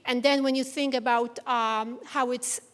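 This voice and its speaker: A woman's voice: high-pitched, fairly even intonation, a little slow, a bit bright, in a large hall. A woman from Poland, an artist talking onstage in a fireside conversation, earnest and searching for words. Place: event venue with audience.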